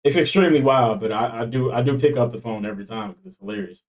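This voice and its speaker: Laughing, animated young man streamer. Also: medium pitch, quick pace, gravelly. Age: 20s. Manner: loose, joking, laughs a lot, slangy. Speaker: streamer reacting to videos. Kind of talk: casual stream commentary.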